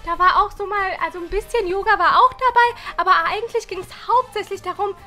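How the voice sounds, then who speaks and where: A self-absorbed young woman who gushes about everything in a theatrical, rambling way. Her voice is extremely high and bright, with light music behind it, in the style of a flatshare drama. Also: a little fast. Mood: earnest, rambling. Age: young adult. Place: furnished living room indoors.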